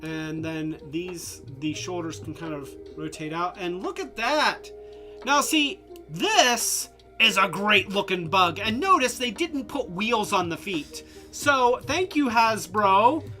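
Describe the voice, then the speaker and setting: Casual toy-review streamer, slow unhurried delivery, stuffy congested voice, music playing underneath. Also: mid-range voice, animated. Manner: rambling, many fillers and restarts. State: congested, losing voice; tipsy from drinks.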